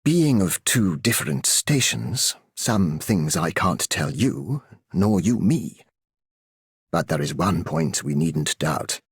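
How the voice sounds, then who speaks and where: A grave game character, a man tired of war. His voice is extremely deep and rough with husk, and he lays out his words slowly and formally. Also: dry recording, studio quality, heavily acted. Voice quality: husky, gravelly low voice. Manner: measured, formal phrasing. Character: grave, world-weary male operator tired of war. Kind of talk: scripted video game character voice line.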